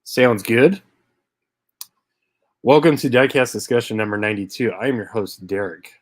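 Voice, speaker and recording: A man's voice: mid-range voice, no echo, studio quality. A man in his thirties hosting a live diecast car stream, stuffy from a head cold and chatting casually as he greets viewers by name. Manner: laid-back, chatty, greets viewers by name with little laughs.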